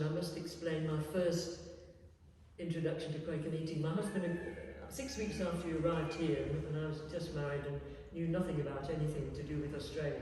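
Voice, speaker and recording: An older woman with a Lancashire accent tells her story in a mellow, reflective way, often pausing and restarting her sentences. She sits some way from the microphone in a large reverberant hall, with faint noise behind. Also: deep voice. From England, Lancashire. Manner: thoughtful, pausing, frequent restarts. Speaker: interviewee, textile artist. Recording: roomy echoey hall, distant mic.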